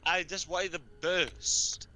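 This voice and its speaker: A man's voice: sits high, fairly even intonation, slow, sharp tone. A man in casual gaming banter on voice chat, talking in a pleading, insistent way. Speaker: fellow player on voice chat.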